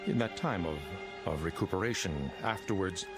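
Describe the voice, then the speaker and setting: An actor playing a middle-aged financial consultant, unsettlingly polite and prying, with apologetic hesitations, in a vintage TV drama. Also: male voice, sits low, moderate pace. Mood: probing, apologetic. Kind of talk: mid-century American TV drama acting. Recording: vintage TV soundtrack with music behind.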